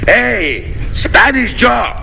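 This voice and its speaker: An actor playing a gleefully wicked, boastful pirate captain in a hammy, gloating style of 1940s radio comedy, on an old broadcast recording. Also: male voice, high-pitched, sing-song, drawn-out words, creaky, sharp tone, faint music behind, exaggerated voice. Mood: gloating menace.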